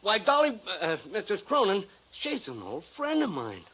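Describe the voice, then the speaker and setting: An actor playing a nervous, pleading old small-time ex-con who stammers in rough, uneducated speech on 1950s radio. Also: male voice, sits high, huge pitch swings, moderate pace, bright, forceful tone, full character voice, low-quality recording. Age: older. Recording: vintage radio drama recording.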